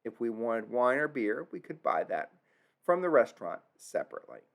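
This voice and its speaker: Gravelly-voiced man narrating a trip. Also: medium pitch, very animated, normal speed. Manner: earnest, reflective, admits stumbling over German words. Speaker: travel vlogger narrating a tour.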